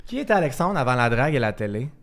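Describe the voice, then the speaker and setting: A man with a Québécois French accent hosting a podcast interview, teasing and giggling, often dropping to a soft voice. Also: medium pitch, big pitch swings, rapid-fire. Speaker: podcast host. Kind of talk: casual interview.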